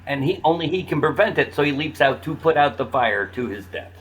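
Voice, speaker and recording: A man chatting casually as a podcast panelist, making guesses and jokes in a deadpan way. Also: on the high side, a little fast, slightly rough, poor audio. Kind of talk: casual chat, puzzle guessing.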